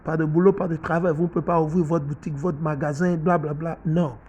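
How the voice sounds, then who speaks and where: A man's voice: a little high, steady tone, fairly quick, a little mellow, husky, dull audio. A middle-aged man preaching and teaching from the Bible in an emphatic way, often repeating himself and asking his listeners if they follow.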